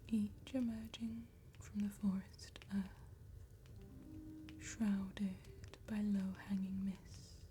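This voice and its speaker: A woman whispering an ASMR roleplay as a soft-spoken vampire tattoo artist, gently and deliberately, with pauses between phrases. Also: medium pitch, level tone, faint music behind. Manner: slow, gentle, deliberate enunciation with long pauses.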